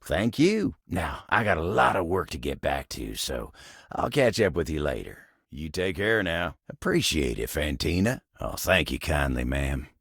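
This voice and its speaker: A man voicing a folksy, dependable living table in a video game, with an American Southern accent, a gravelly voice and a courteous, plain-spoken drawl. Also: very deep voice, big pitch swings, unhurried, fairly bright tone, no room sound, clean studio sound, heavily acted. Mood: grateful, courteous. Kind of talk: video game character acting.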